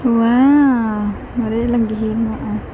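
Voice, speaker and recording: Slow-talking Filipino woman at a harbour lookout. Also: fairly high pitch, steady tone, narrow, tinny audio. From Philippines. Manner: exclaiming, reading signs aloud, switching to Cebuano. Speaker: vlogger. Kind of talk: casual chat. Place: outdoors at an industrial harbour lookout. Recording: outdoor phone recording with noise.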